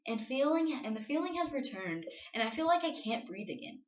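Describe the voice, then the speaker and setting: A woman's voice: high-pitched, big pitch swings, fairly quick. A young adult woman performing spoken-word slam poetry with an earnest, emotional, confessional delivery. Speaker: slam poet. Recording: roomy, dull lo-fi home recording.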